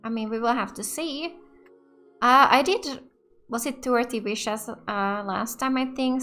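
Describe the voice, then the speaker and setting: A woman giving casual, excitable live commentary as a gaming host, often repeating short exclamations. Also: on the high side. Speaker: gaming let's play host. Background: game music.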